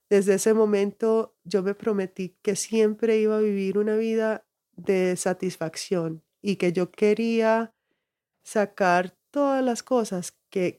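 A woman's voice: medium pitch, mellow. A middle-aged woman, raised in the United States, reflectively telling her life story as an interview guest, restarting phrases often. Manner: reflective, frequent restarts and laughs.

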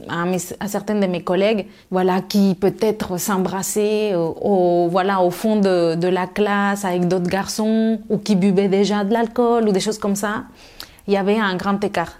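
A woman's voice: mid-range voice, steady tone, moderate pace, a little mellow. A middle-aged woman from Mexico, answering interview questions thoughtfully with many fillers and restarts. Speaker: guest.

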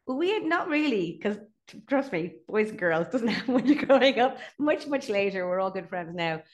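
A woman's voice: medium pitch, very animated, moderate pace. A middle-aged Irish woman, a company chief, chatting casually as an interview guest and laughing between points. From Ireland (Dublin).

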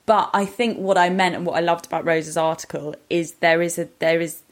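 A woman's voice: on the low side, fairly even intonation, brisk. A woman co-hosting a podcast, explaining her opinions in a reflective, articulate, conversational way. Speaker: podcast co-host. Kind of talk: casual opinion chat.

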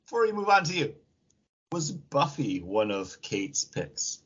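A man's voice: mid-range voice, big pitch swings, measured pace, fairly bright tone, husky, lo-fi sound. A man co-hosting a podcast in casual banter, teasing and jokey, often acting out imagined lines. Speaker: podcast co-host.

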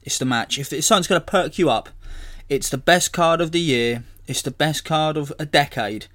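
A hoarse man, hosting a casual solo podcast and rambling as he corrects himself. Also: fairly high pitch, normal speed, a bit bright. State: hoarse from illness. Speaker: podcast host.

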